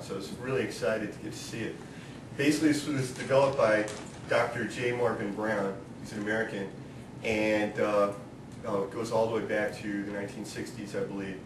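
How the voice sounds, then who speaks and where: A man from New York leading a seminar in a classroom, talking informally, joking and asking the students questions. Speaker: seminar leader. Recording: roomy classroom from a distance with background rumble.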